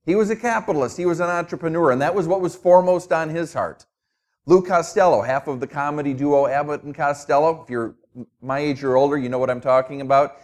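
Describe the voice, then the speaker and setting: A middle-aged man with a New Jersey accent gives a sermon as a visiting pastor. His voice sits somewhat high for a man, and he talks conversationally, slipping in jokes at his own expense. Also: quick pace, a little mellow. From Minnesota. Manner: conversational, self-joking. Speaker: guest pastor.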